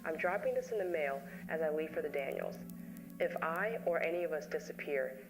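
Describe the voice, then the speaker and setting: A woman's voice: low voice, expressive, a little fast, gravelly, low-quality recording. A woman playing a shaken investigator who narrates a horror story as a taped confession. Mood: uneasy. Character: shaken woman recounting her investigation on a tape to John. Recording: music behind the voice.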